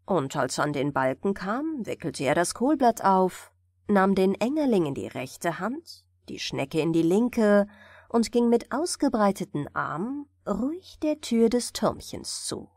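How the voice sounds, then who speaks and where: A woman's voice: mid-range voice, very animated, a little slow, darkish tone, no room sound, studio quality. A woman storyteller narrating a scripted bedtime fairy tale for an audiobook.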